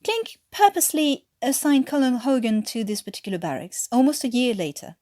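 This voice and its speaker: Female story narrator, native French speaker attempting English accent, expressive, lively pitch movement. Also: brisk. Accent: French-tinged English. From France. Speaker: fan fiction author reading aloud.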